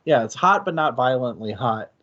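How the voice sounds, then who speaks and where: A man co-hosting a casual discussion, thinking aloud haltingly and correcting himself as he goes. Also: medium pitch, lively intonation, a bit bright, highs cut off. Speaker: co-host of a book discussion stream.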